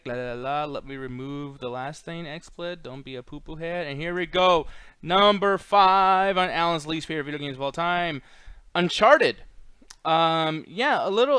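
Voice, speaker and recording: A man's voice: on the high side, fairly bright tone, smooth, no room sound. A man hosting a casual chat show, moderating and moving the discussion along. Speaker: show host.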